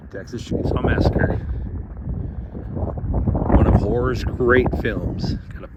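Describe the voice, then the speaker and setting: A man losing his voice, chatting excitedly as he films a walking tour outdoors at a roadside barbecue spot. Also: mid-range voice, slow pace, highs cut off, very noisy. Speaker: vlogger touring a film location. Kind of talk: casual walking tour commentary.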